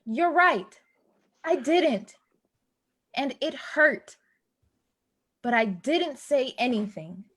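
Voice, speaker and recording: A woman acting an anxious, hurt neighbor in a play reading, speaking earnestly and insistently with halting moments. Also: high-pitched, very animated, fairly bright tone, husky, heavily acted. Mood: accusing, wounded. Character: hurt, pleading young woman neighbor, anxious, quietly reproachful. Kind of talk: staged play reading, naturalistic dialogue.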